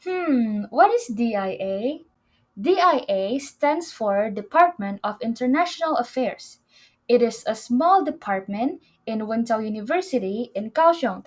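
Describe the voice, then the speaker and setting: A young woman from Indonesia co-hosting a student podcast, speaking English as an in an earnest, slightly way. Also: on the high side. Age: young adult. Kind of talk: casual scripted chat.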